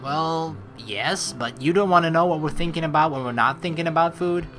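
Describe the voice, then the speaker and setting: A woman's voice: low-pitched, fairly quick, fairly bright tone, soft background music. A woman let's play streamer reading game text aloud and adding chatty commentary.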